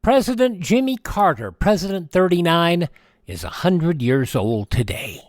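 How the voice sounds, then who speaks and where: An older American man hosting a radio show, chatting casually through dates and birthdays with rambling, folksy asides and hesitant pauses. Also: a little high, sing-song, no echo, clean studio sound. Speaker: radio host.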